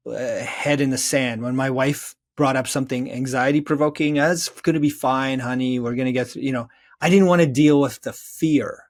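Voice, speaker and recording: Man, medium pitch, interview guest, earnest, reflective, explanatory conversational delivery. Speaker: interview guest, therapist and author.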